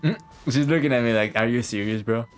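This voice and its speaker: A man's voice: medium pitch, fairly quick, faint music behind. A man streaming a game with casual, slangy, joking commentary in a laid-back manner. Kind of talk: casual live gameplay commentary.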